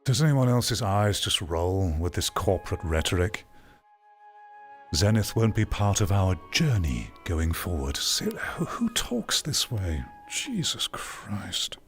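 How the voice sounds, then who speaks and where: A man's voice: deep voice, sing-song, slow, faint music behind. A middle-aged man giving casual live commentary as a streamer, rambling in a sardonic, exasperated manner. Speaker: streamer reacting to a video.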